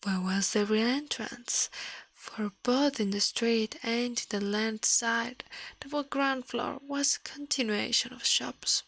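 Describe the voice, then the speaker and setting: A woman reading a story aloud in a gentle, breathy ASMR whisper, halting now and then, close to the microphone. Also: a little high, dry recording. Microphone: close microphone, dry sound.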